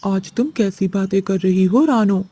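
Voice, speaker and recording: A woman voicing a caring, gentle young husband sparrow in a children's cartoon. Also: mid-range voice, a little slow, slightly rough. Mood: reassuring.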